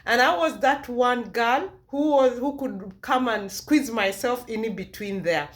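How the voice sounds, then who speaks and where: A woman's voice: fairly high pitch, measured pace. Ugandan-accented woman giving a personal testimony. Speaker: vlogger.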